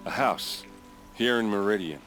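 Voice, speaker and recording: An actor playing a gruff, hot-headed but loyal guard captain in a video game, speaking bluntly and with emotion. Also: male voice, fairly low pitch, unhurried, a bit bright. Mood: reluctant, defensive. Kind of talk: video game cutscene acting. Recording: music behind the voice.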